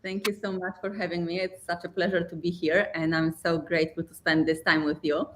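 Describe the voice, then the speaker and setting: A woman's voice: fairly low pitch, flat delivery, a little fast. A woman from Poland with a Polish accent, speaking as an earnest motivational guest and building points with repeated phrasing. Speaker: guest speaker, mindset coach and company founder. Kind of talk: earnest motivational interview talk.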